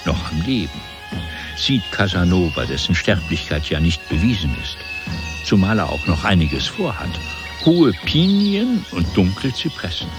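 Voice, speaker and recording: Very deep, lively male radio-play narrator. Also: unhurried, over music.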